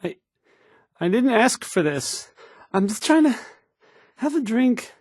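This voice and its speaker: A timid, self-doubting young radio DJ for a video game. His pitch swings widely as he stammers and hesitates his way through apologetic patter. The recording is close and very dry. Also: very animated, takes it slowly, husky, no echo, full character voice. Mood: anxious, cornered. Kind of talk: post-apocalyptic video game voice acting.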